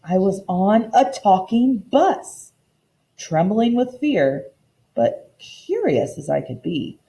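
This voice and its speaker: A woman teacher giving an expressive storybook read-aloud lesson in an instructive, deliberate manner. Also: medium pitch, gravelly, low-quality recording.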